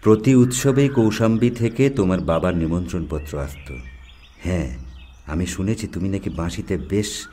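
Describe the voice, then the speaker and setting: Surdas, very deep-voiced secretive older musician. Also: male voice, breathy edge. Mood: enthusiastic. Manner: hushed, deliberate, with dramatic pauses and repetition. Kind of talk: Bengali audio story character acting.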